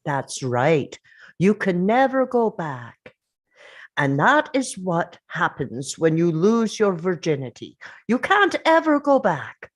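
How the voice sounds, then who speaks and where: Stern grandmother, slow and wildly swooping, played by a woman. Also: lowish voice, big pitch swings, heavily acted. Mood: solemn, stern. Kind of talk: table read of a TV script.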